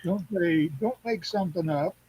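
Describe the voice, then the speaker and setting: An older man talking as an interview guest, telling rambling anecdotes in a folksy, salty way, often halting and repeating phrases. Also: high-pitched, darkish tone. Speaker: guest, Craft elder and teacher. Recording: clearly noisy background hum.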